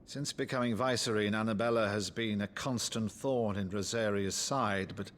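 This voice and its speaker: An actor playing an older nobleman, a blustering but warm-hearted uncle, in a theatrical, grandiloquent video game performance. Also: on the low side, steady tone, moderate pace, close to an everyday voice. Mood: grave, weary. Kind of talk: dramatic video game acting.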